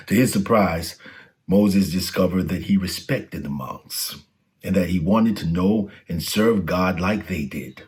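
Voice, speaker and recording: A man with a low-pitched voice narrates a storybook for children. He reads from a script, as a storyteller reading aloud.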